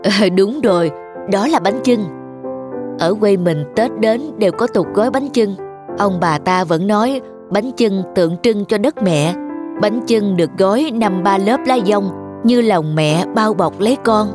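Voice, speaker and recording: A man's voice: sits high, a little slow, over music. Earnest, affectionate cartoon father. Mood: tender storytelling. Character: busy but affectionate father and devoted son. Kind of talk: animated cartoon dialogue and storytelling.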